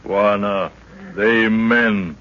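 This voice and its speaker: A huge, slow-spoken African warrior prince. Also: male voice, lowish voice, fairly even intonation. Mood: solemn, terse. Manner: terse broken English, slow and deliberate. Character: huge native African prince and loyal guard. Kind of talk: 1930s-40s radio serial acting. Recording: muffled old radio recording.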